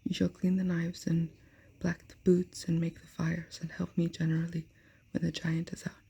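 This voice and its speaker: A bossy giantess from a storybook, whispered by a woman. The breathy whisper stays dark and utterly flat, with a gravelly edge. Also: fairly low pitch, normal speed, full character voice. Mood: scolding. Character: bossy giantess, housewife of a man-eater.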